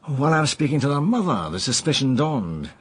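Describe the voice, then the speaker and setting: A man's voice: medium pitch, sing-song, brisk, slightly rough. An actor playing an affable, wry male investigator in a conversational radio drama. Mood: measured, explanatory.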